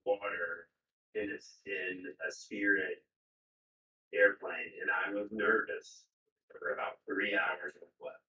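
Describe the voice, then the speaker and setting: A man's voice: on the low side, flat delivery, takes it slowly, pushed and bright, muffled recording. A man joking and teasing in casual banter on a trading stream. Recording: distant echoey mic.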